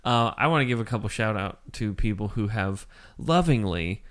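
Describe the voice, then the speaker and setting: A man co-hosting a podcast in casual chat. He has a mild speech impediment and rambles and jokes with quick asides. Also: fairly low pitch, expressive. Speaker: podcast co-host.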